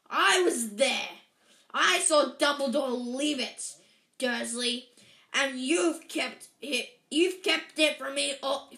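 A woman voicing a huge, gruff male giant in a storybook, booming and growling with rustic, emphatic speech and a hot temper. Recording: echoey, roomy sound.

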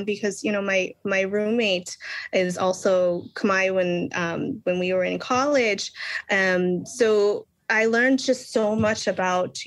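A woman's voice: mid-range voice, fairly bright tone, dry recording. Earnest woman with dry close sound. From Boston, Massachusetts. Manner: earnest, many ums and restarts. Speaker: children's book author, guest. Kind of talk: casual interview answers.

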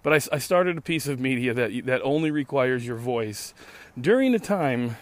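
A hoarse man in his late thirties, losing his voice, rambling sardonically and swearing freely in a casual solo podcast monologue recorded outdoors in a park. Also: medium pitch, lively intonation, moderate pace, dry recording. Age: late 30s. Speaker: podcast host.

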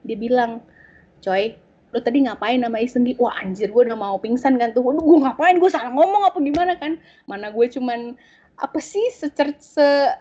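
A woman, casually telling a story about her work as an interpreter, animated and full of slang and fillers. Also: fairly high pitch, normal speed, husky, highs cut off.

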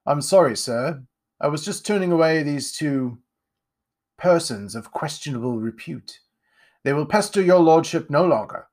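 A man's voice: a little high, lively intonation, normal speed, a little mellow, pitched above the performer's own voice, close to an everyday voice. A man voicing an obsequious, deferential household servant in a storybook reading. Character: fawning household servant, officious.

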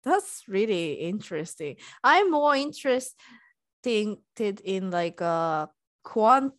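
A woman whose first language is Japanese, co-hosting a casual podcast and pausing to search for her words. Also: mid-range voice, sing-song, no echo, studio quality. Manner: slow, hesitant, searching for words. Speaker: podcast co-host.

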